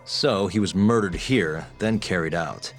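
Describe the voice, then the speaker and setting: An actor playing a sharp young male private investigator who asks questions in a calm, probing, methodical way, in a game scene with music behind. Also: sits low, unhurried. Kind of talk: game dub acting.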